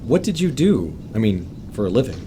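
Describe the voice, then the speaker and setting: An actor playing a wary, stranded motorcyclist with a hesitant, colloquial way of talking in an audio drama. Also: male voice, on the low side, big pitch swings, moderate pace, background noise. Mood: wary, probing. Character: wary, stranded male motorcyclist, an air-conditioner repairman. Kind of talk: full-cast audio drama acting.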